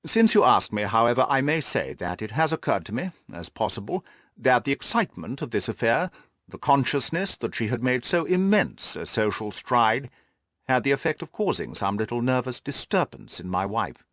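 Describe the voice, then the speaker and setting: A man's voice: medium pitch, very animated, normal speed, a bit bright, dry recording, studio quality. A man voicing a haughty, self-satisfied lord in an audiobook, speaking in a pompous, formal, long-winded way. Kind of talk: audiobook character dialogue.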